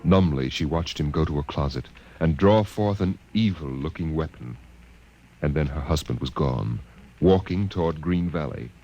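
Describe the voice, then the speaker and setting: Low-voiced man narrating a dramatic story. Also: moderate pace, highs cut off, over music.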